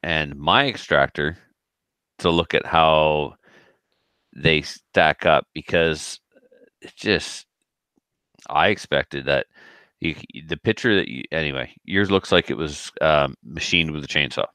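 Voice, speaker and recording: A man's voice: a bass voice, takes it slowly, long pauses, bright, forceful tone, no room sound. A man chatting casually as a podcast co-host. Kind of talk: casual chat.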